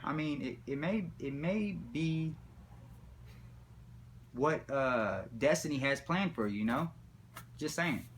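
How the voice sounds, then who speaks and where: A man's voice: on the high side, animated, takes it slowly, long pauses, a bit bright. Slangy, rambling man over background noise. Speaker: storyteller. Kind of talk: rambling casual comic storytelling.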